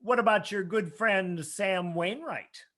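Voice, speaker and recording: A man's voice: high-pitched, a bit bright. A man playing a cold, contemptuous rich old villain in an old-time radio play. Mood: sneering. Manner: slow, contemptuous.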